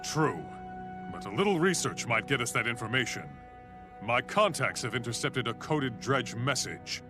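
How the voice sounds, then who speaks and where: An actor, a man, playing a thoughtful, studious scholar in a video game, reasoned and deliberate. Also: mid-range voice, animated, music in the background, lightly acted. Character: thoughtful, studious male scholar of a research order.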